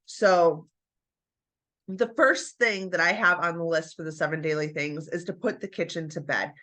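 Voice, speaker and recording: A woman's voice: fairly low pitch, a bit bright. A woman chatting casually to her viewers about home routines, with a stuffy nose after the flu. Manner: chatty, encouraging, addresses viewers as you guys. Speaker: homemaking vlogger. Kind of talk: casual chat to camera.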